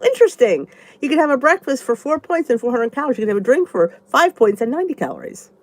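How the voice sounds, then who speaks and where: A woman's voice: high voice, sing-song, fast talker, dry recording. A congested woman vlogger with a stuffy nose, rambling chattily as she answers viewer comments in a casual live chat. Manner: chatty and rambling, thinks aloud.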